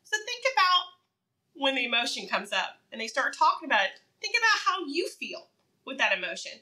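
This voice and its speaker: A woman with a high, bright voice teaches very young children through a read-aloud. She asks simple questions in an encouraging sing-song, leaving room for answers. Her pitch swoops widely as she goes. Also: sing-song, fairly quick. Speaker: preschool teacher reading aloud.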